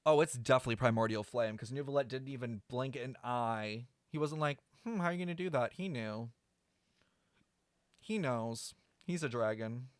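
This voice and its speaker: A man's voice: mid-range voice, normal speed, no room sound. Blunt male streamer, dry close sound. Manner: blunt, sweary, critical. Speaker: game streamer. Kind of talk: casual live reaction commentary. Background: game music.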